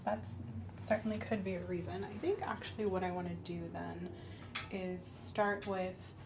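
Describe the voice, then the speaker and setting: Asmr lady doing a gentle doctor exam, reassuring, half whispering, noticeable background noise. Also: fairly low pitch, fairly even intonation, moderate pace. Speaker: ASMR host playing a doctor. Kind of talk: soft-spoken ASMR medical exam roleplay.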